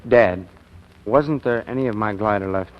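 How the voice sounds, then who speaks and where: A man's voice: lowish voice, moderate pace, slight background noise, close to an everyday voice. A young man playing an earnest, slangy, plain-spoken teenage boy in a 1940s radio drama. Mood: subdued, sorry. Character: teenage boy Chuck, earnest, boyish, slangy glider enthusiast. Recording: muffled old radio broadcast recording.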